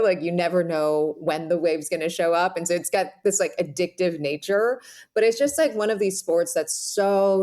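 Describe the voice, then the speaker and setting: Low-voiced woman, reflective interview guest. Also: darkish tone. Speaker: podcast guest, author.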